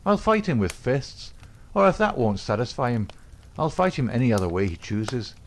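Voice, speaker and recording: A man's voice: medium pitch, normal speed, slight background noise, pitched above the performer's own voice, natural voice. Man voicing a cool, cocky young outlaw. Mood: defiant. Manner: calm, coolly defiant.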